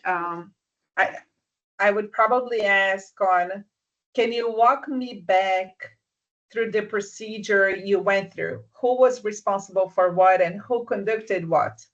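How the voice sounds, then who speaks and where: A mentor talks through workplace advice on a video call, gently and with frequent restarts. The voice sits in a middle pitch, and the call audio comes through a little dull and muffled. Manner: soft-spoken, restarts often, checks understanding. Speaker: business mentor. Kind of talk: advice in a live online session.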